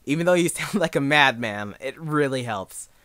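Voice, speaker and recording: A young man giving a casual, unscripted tutorial in a rambling, halting, self-deprecating way. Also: medium pitch, expressive. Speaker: amateur voice actor giving advice.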